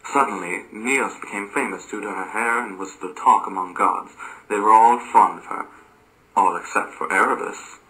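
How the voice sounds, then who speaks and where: A man's voice: lowish voice, moderate pace, bright, forceful tone, rough recording. A man reading a scripted story as narrator, occasionally stumbling over the words.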